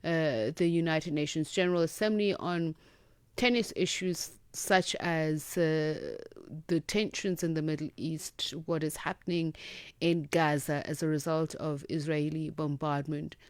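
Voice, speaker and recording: A woman, hosting a current affairs interview and asking long, formal questions in a measured way. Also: low-pitched, fairly even intonation, clear, resonant tone, no room sound. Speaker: radio interviewer.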